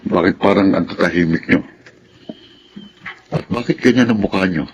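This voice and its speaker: Clear noise sits behind a deep Filipino male voice of an actor playing a middle-aged father. He is stern and probing, with the authority of a radio drama patriarch. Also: normal speed, muffled recording, lightly acted. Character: stern, inquisitive older father of grown children. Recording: noisy broadcast with room ambience.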